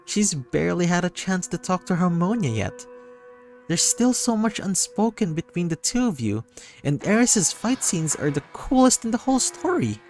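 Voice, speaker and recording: A man voicing an excitable, affectionate young female superfan in a visual novel, gushing and emphatic. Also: high voice, normal speed, voice pushed up. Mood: excited. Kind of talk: visual novel character acting. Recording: music behind the voice.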